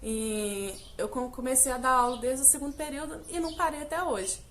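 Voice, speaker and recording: Young woman from Brazil, chatty vlogger, fairly high voice, faint hiss behind. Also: a little fast, a bit bright. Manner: chatty, direct address with many fillers. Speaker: English teacher, vlogger. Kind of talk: casual personal vlog.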